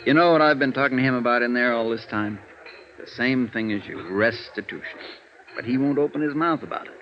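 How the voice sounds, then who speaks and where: Troubled, conscientious attorney played by a man, downcast and hesitant, medium-pitched, on a muffled vintage radio recording. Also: lively intonation, a little fast, natural voice. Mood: frustrated, earnest. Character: weary, conscientious male defense lawyer, troubled by his client. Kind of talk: 1950s radio drama dialogue. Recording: dull, faintly noisy old radio recording.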